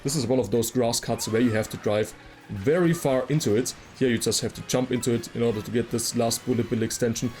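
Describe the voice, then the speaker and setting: A man's voice: medium pitch, fairly quick, a little mellow. A man giving casual, detailed explanatory commentary as a video game guide creator. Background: game music.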